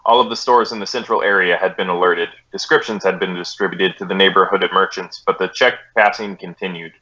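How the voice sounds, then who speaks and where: A man's voice: low voice, fairly even intonation, a little fast, pushed and bright, muffled recording. An American man playing a terse, businesslike police detective in a clipped, matter-of-fact 1950s radio drama style. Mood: flat, official. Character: terse, businesslike male police detective, narrating his case.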